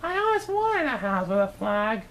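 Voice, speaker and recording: A woman's voice: mid-range voice, big pitch swings, normal speed, a bit bright, slight background noise, pitched above the performer's own voice. A woman voicing a bossy, fussy but contented mother bird from a storybook, emphatic and sing-song. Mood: pleased.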